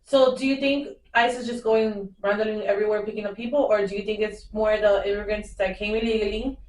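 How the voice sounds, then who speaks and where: A woman's voice: mid-range voice, steady tone, a little fast, slight background noise. A young woman hosting a casual podcast, chatty and emotional, asking lots of questions. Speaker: podcast host. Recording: roomy, mic a bit far.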